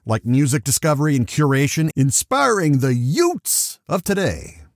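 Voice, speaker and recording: A man's voice: fairly high pitch, sing-song, normal speed, no echo. A jokey, theatrical man hosting a scripted comedy podcast like a voice actor, close on the mic.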